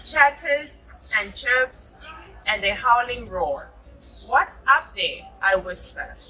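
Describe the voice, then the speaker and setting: A woman's voice: fairly high pitch, expressive, slow, bright, forceful tone. A woman reading a picture book aloud to children, patient and encouraging, adding chatty asides and echoing what they say. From South Africa. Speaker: storybook reader.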